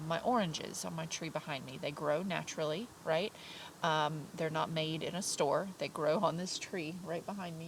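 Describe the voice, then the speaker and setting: A woman's voice: on the low side, noisy background. A woman teaching a kindergarten lesson over video from her garden, her nose and ears stuffed up, speaking encouragingly with many fillers and now and then singing simple teaching songs. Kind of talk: remote lesson to young children. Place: outdoors in a garden by an orange tree. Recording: outdoor recording, crickets and insects behind.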